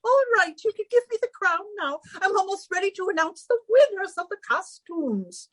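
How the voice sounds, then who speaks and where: An actress playing a fussy, excitable society hostess with a shrill, theatrical manner in a 1940s-style radio comedy. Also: female voice, normal speed, no room sound. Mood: fussy, brisk.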